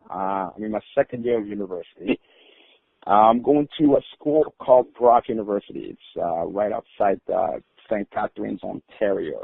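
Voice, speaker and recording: A man's voice: lowish voice, vocal fry, dry recording. A man from Rwanda, a podcast guest telling his life story with many hesitant fillers and restarts. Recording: tinny phone-like line.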